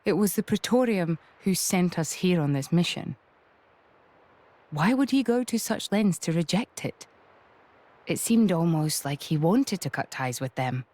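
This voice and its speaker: An actress voicing a serious female officer who reasons aloud in a formal, measured way. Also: on the low side, animated, a little mellow. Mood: pensive.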